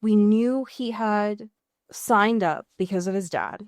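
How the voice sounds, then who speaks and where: A woman's voice: mid-range voice, slow, no room sound, clean studio sound. An American woman co-hosting a casual recap podcast, emphatic and sweary, often rambling and correcting herself as she goes. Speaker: podcast co-host. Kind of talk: casual TV and film recap chat.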